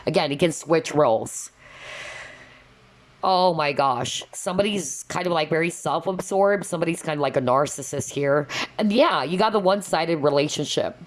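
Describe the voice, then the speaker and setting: Low-voiced tarot reader, a bit nasal. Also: female voice, normal speed. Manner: chatty, with many fillers and asides to viewers. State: slightly nasal, losing her voice. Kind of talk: casual pick-a-card tarot reading.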